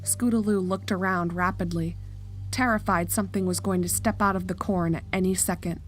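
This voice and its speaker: A woman's voice: lowish voice, fairly even intonation, fairly quick, faint music behind, low-quality recording. A woman narrator reading a scripted horror story in a storytelling style.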